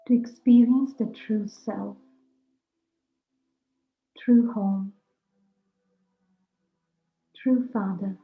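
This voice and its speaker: Slow-paced woman guiding a meditation. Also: a little high. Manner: deliberate, speaking in short, measured phrases. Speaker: guided meditation teacher. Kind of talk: slow guided meditation talk. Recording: roomy echo, dull lo-fi sound, music. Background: music.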